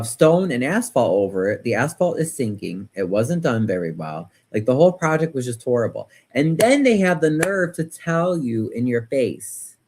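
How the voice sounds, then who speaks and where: An American man hosting a casual livestream, chatting and commenting in an animated, opinionated, rambling way, often repeating words for emphasis. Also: a little high, breathy edge. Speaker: livestream host. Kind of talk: casual livestream chat and political commentary, reading viewer comments.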